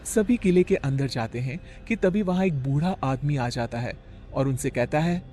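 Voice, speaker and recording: A man narrating a cartoon horror story in a steady storytelling manner. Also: medium pitch, moderate pace, soft background music.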